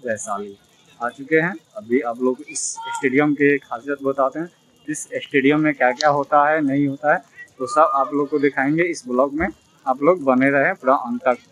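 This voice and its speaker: A man's voice: mid-range voice, a bit bright, dull audio, a little hiss or hum. A young man from Bihar giving a chatty, repetitive vlog tour of an outdoor stadium, filmed on a phone at arm's length. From Bihar, India. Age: young adult. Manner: chatty, addresses viewers directly, repeats himself. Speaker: vlogger. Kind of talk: casual vlog walkthrough.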